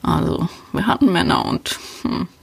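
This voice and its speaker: A woman's voice: on the low side, animated, normal speed, vocal fry, breathy edge, dry recording. A woman hosting a podcast, talking casually and thinking aloud as she answers listener questions. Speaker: podcast host.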